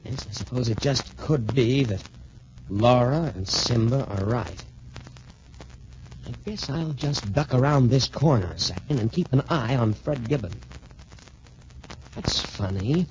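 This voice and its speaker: Casting: male actor as wholesome college athlete hero, earnest, animated delivery, 1940s radio serial on a dull recording. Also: medium pitch, measured pace, pauses often, a little hiss or hum, lightly acted. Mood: musing, suspicious. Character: earnest, trusting young male student boxer hero.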